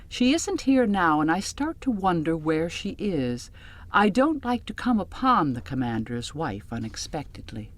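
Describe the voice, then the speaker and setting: A woman reading first-person literary fiction as an audiobook narrator, in a measured way that drops softly at phrase ends. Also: low voice.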